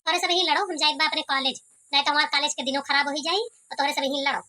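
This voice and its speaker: A woman's voice: high-pitched, fairly even intonation, fast talker, sharp tone, a little hiss or hum. A woman voicing a resentful, complaining young college girl in an Awadhi cartoon comedy, with an Awadhi accent. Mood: sulky, indignant. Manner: complaining, scolding. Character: resentful, sharp-tongued young college-going younger daughter.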